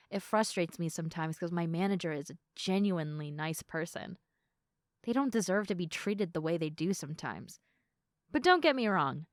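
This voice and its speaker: A young woman playing a sleepy, affectionate girlfriend in an intimate sleep-aid roleplay, speaking softly and tenderly to the listener in a giggly, hesitant way. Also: lowish voice, dry recording, studio quality, natural voice. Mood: earnest, tired. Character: sleepy, affectionate girlfriend, gentle, playful and sincere. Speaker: audio roleplay performer.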